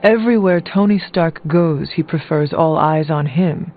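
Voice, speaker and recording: A steadfast video game warrior with a fairly high male voice. He speaks formally and earnestly, as a loyal supporter. The audio is dulled, with music faintly behind. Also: unhurried, phone-quality sound. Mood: earnest, reassuring. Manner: formal, earnest, plain-spoken. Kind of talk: video game character dialogue.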